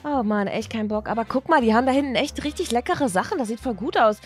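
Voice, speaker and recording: A high-pitched woman acting as a teenage schoolgirl, chatty and theatrical, talks in exaggerated teen slang. It sounds like an improvised toy story video, with music behind the voice. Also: animated, fast talker, lightly acted. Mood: gushing. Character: chatty teenage schoolgirls, dramatic and easily bored. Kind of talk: improvised doll-play storytelling with character voices.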